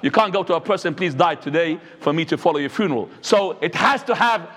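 A man, preaching a sermon as an imam, swinging between high and low. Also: a little fast, a bit bright. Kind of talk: Friday sermon. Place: mosque. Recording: echoey hall.

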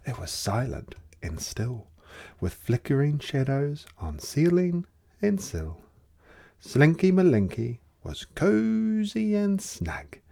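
A storyteller reading a rhyming children's picture book aloud in an expressive, theatrical way. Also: medium pitch, creaky. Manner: theatrical, dramatic delivery.

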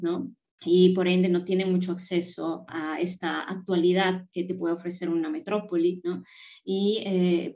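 Female panel guest, slightly low voice, explanatory and conversational, often seeking confirmation with small tag questions. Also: a little mellow.

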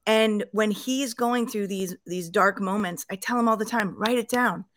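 A middle-aged woman hosts an interview podcast with an emphatic, personal delivery. Her voice has a medium pitch and is recorded very dry, with little room sound. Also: moderate pace, fairly bright tone, no room sound. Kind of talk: podcast introduction and conversational interviewing.

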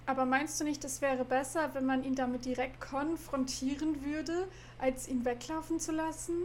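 A woman reading the lines of a caring wife on a ranch who worries about the teens, gently and with concern. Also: high-pitched, steady tone, normal speed, slight background noise, natural voice.

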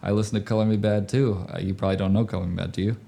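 Man, forties, deep pitch, mellow tone, slangy, anecdotal, casual conversation. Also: steady tone, rapid-fire, creaky, slight background noise. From grew up overseas in Indonesia. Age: 40s. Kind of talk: casual chat about music.

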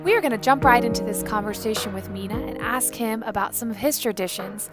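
Woman host over loud music. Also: a little high, quick pace. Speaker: podcast host. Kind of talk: scripted intro then casual interview.

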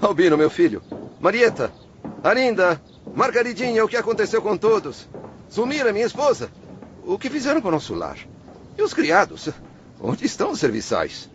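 An actor with a Brazilian accent playing an anguished, proud wine-merchant father, theatrical and halting, in a radio drama. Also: high voice, huge pitch swings, a little hiss or hum. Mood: bewildered, anxious. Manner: theatrical, anguished, halting between whispers and outcries. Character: distraught proud wine-merchant father, spirit returned home. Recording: muffled radio drama, music underneath.

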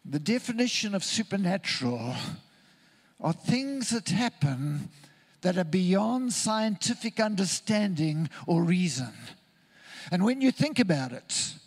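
A man from Zimbabwe, preaching a sermon in an earnest, deliberate way with emphatic repetition. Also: high voice, big pitch swings.

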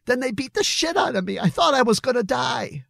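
Guy narrator doing a upset young guy, pitched up, defensive and emotional, storybook drama, dry close sound. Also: lively intonation, normal speed, creaky, darkish tone, slightly rough, no echo, full character voice. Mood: yelling, tearful. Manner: evasive, emotional outbursts. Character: agitated, defensive, tearful young man hiding secrets.

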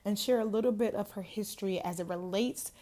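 A woman hosting a podcast, giving an energetic, motivational introduction. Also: medium pitch, brisk. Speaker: podcast host.

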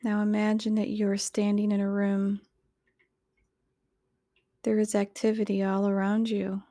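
Woman doing guided meditation, real slow n soft, mellow voice w some fry, soothing. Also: mid-range voice, completely flat delivery, no echo, studio quality. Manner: soothing, measured, instructional. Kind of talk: guided meditation, scripted narration.

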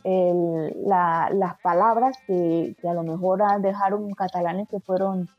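Husky-voiced Caribbean Colombian woman. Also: on the low side, steady tone, a little slow, no room sound, lo-fi sound, faint music behind. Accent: Colombian Caribbean with Spanish influence. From Barranquilla, Colombian Caribbean. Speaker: interview guest. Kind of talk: conversational anecdotal interview.

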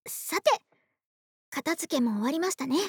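A voice actress playing a polite, doting young woman next door in an intimate, soft-spoken ASMR roleplay. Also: high voice, animated, normal speed, no echo, studio quality. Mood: politely eager. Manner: soft-spoken, courteous, polite speech, affectionate. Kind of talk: Japanese ASMR situation voice drama, intimate roleplay. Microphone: close studio microphone, dry.

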